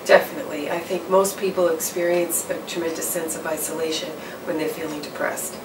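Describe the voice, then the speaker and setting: A middle-aged woman answering interview questions as a campus counselor, measured and explanatory, with frequent fillers and restarts. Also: low voice, level tone. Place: office or studio in front of a backdrop. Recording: roomy, distant mic with background noise.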